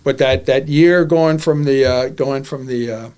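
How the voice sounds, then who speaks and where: A man's voice: medium pitch, unhurried, darkish tone, clear, resonant tone. Blunt, rambling man in his seventies. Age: 70s. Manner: candid, rambling, repeats words, blunt. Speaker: podcast host. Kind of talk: casual podcast monologue.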